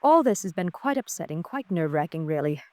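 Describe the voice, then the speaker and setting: An actress playing a formal, haughty wife with a brittle, genteel manner, in 1950s radio melodrama style. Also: female voice, on the low side, very animated, fairly quick, darkish tone, dry recording. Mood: cool, offended. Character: cool, proper, brittle wife of a missing man.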